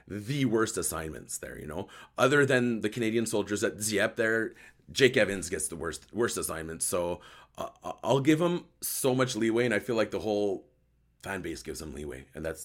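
A man with a hoarse voice hosting a casual live sports chat show, joking and thinking aloud as he answers viewers. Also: low voice. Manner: joking, conversational, thinking aloud with repeated words. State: hoarse voice after a night outdoors. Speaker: host of a hockey post-game recap show.

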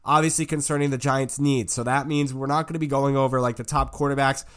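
Young male podcast host, congested from a cold, smooth resonant voice, rambling casual delivery. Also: mid-range voice, steady tone, quick pace. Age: young adult. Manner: rambling, filler-heavy. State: stuffy nose from a cold. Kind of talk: casual sports podcast chat.

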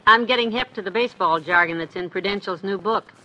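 A woman's voice: medium pitch, a little fast, bright, forceful tone, dull audio, lightly acted. An American woman playing a sensible, teasing middle-aged wife in a 1950s radio comedy, fond but chiding. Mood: cheerful. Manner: chiding, good-humored.

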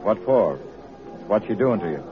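An actor playing a cynical, self-assured big-city political boss with an American accent and tough, slangy speech in an old radio drama. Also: male voice, lowish voice, animated, moderate pace, natural voice. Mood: gruff questioning. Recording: tinny, narrow old radio recording.

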